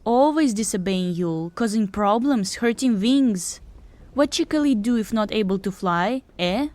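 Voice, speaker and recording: A woman's voice: mid-range voice, lively intonation, measured pace, darkish tone, no echo, close to an everyday voice. A woman voicing a fussy, affectionate young female harpy who talks in broken third-person speech in a fantasy audio story. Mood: scolding.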